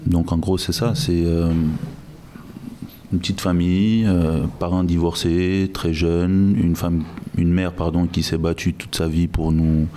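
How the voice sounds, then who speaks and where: Man from Guinea, 30s, guest on a podcast. Very low, dark, even delivery, measured, restarts often. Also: a little hiss or hum. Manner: measured, reflective, frequent restarts. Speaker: guest, logistics director. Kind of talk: podcast interview.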